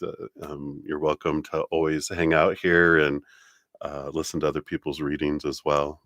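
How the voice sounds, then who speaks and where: Congested, nasal low-voiced man. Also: no room sound, clean studio sound. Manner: gentle, friendly, meandering with many fillers. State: congested, nasal from a stuffy nose. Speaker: tarot reader hosting a live stream. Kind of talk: casual live-stream talk to viewers.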